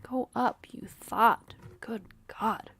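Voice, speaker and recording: A woman's voice: fairly high pitch, extremely slow, gravelly, a bit bright, husky. A woman streamer with a sniffly, dry throat, casually thinking aloud and swearing while she plays a game. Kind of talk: casual game livestream commentary.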